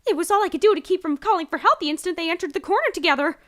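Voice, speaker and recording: A woman's voice: extremely high pitch, animated, rapid-fire, fairly bright tone. A woman voicing an elderly, motherly mare who runs a bakery, fussing over customers and calling them dear. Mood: worried. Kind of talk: amateur audio drama acting.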